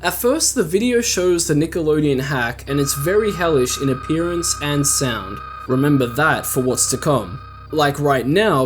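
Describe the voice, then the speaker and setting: A man hosting a video channel, giving casual commentary in a conversational, wry way with frequent self-interrupting asides. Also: a little high. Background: background music.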